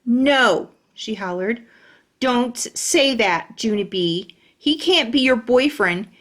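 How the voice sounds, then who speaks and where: A woman's voice: fairly high pitch, lively intonation, takes it slowly, a bit bright. A woman voicing a bossy, huffy little schoolgirl who whines and demands. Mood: angry. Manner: whiny and demanding.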